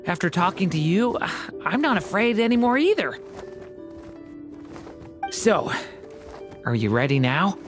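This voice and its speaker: A woman's voice: lowish voice, very animated, measured pace, pauses often, over music. A woman voicing a kind, encouraging young friend in a video game. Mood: reassuring. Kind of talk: video game character acting.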